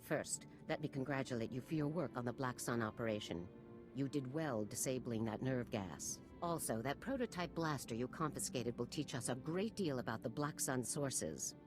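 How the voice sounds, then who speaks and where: Stern, very deep-voiced older female general. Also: moderate pace, music in the background. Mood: stern, businesslike. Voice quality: low-pitched. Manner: clipped, authoritative, formal. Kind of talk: video game voice acting.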